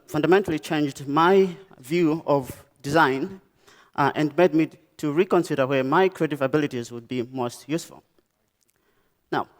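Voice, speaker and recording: A young man from Malawi with a Malawian accent, giving a conference talk about his design work, pausing often with "um" and "uh". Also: a little high. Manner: halting, many "um" and "uh" pauses and restarts. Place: lecture stage.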